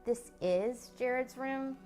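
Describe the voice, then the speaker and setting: Hushed stubborn teen boy, read by a woman. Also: takes it slowly, faint music behind. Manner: soft, hushed and low-key. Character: stubborn young teen boy, sleepy, proud. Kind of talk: reading a novel aloud.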